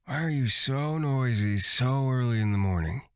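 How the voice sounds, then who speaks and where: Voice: mid-range voice, expressive, moderate pace, clear, resonant tone, no echo, studio quality, natural voice. A performer voicing a grumpy, sarcastic young man in a fantasy visual novel.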